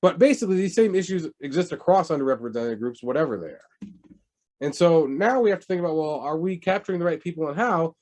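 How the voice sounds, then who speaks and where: A man lecturing on public health in a lively, conversational way, often asking rhetorical questions. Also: fairly high pitch, fast talker. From United States.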